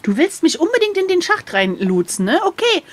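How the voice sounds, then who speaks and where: A woman's voice: extremely high pitch, sing-song, brisk. A woman chatting casually and cheekily as the player in an adventure gamebook. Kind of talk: casual playful chat.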